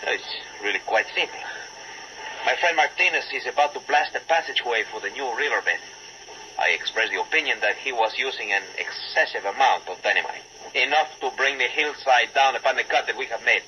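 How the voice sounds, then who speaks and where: A man's voice: medium pitch, brisk, sharp tone. A man playing a formal, emphatic Latin American government inspector in a 1940s radio drama. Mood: formal, explaining. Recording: muffled, noisy old 1940s radio recording.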